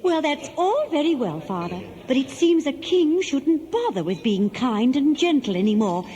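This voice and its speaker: An actress in a radio play as a commanding, indignant goddess, speaking in an emphatic, theatrical way. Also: female voice, high-pitched, sing-song, normal speed, warm tone. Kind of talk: 1970s radio play acting.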